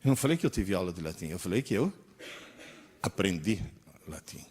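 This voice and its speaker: Man in his 60s, husky voice, animated pitch, live lecture, storytelling, asks the audience questions. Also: a little fast.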